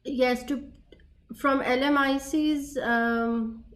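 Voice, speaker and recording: Slow, hesitant woman panelist. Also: sits high, steady tone. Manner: hesitant, many fillers. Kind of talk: online panel discussion.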